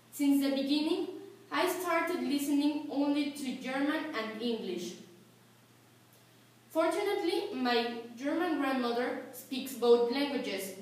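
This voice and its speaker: A teenage girl from Mexico with a Mexican Spanish accent, a native Spanish speaker, reciting a school speech carefully, phrase by phrase. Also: high-pitched. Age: teens. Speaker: student. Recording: echoey room, distant mic, faint hiss.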